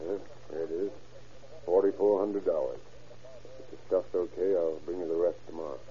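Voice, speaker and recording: A man's voice: low voice, fairly even intonation, moderate pace, mellow, dull audio, lightly acted. An actor playing an easygoing, shrewd undercover policeman in an old radio drama, speaking casual, slangy American English with assurance. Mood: cool, businesslike. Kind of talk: 1940s radio acting. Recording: old radio recording, thin, faint noise.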